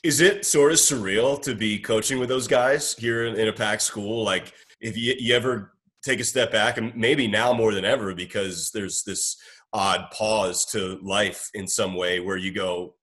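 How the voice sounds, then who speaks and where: A man's voice: lowish voice, fairly even intonation, unhurried, fairly bright tone. An American man hosting a casual sports chat, asking questions in a rambling, conversational way. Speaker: interviewer, host.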